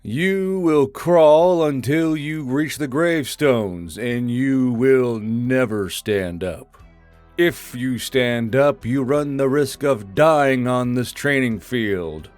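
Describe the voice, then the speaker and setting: A man playing a gruff military drill sergeant with a barking, commanding delivery in a dramatized audiobook. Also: medium pitch, takes it slowly, darkish tone, no room sound, faint music behind, close to an everyday voice. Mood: stern, menacing. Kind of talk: dramatized audiobook character acting.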